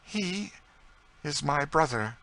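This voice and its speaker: Man voicing an eager, high-pitched proud lady. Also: expressive, normal speed, a bit bright, rough recording. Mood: overcome, emotional. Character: French lady, emotional, proud, eagerly questioning.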